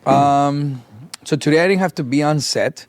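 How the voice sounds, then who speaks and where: A middle-aged man co-hosting a casual podcast chat, his voice raspy and tired after a long day, talking in a rambling way with many fillers. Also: a little high, normal speed, no room sound, clean studio sound. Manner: rambling, filler-heavy storytelling. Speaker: podcast co-host.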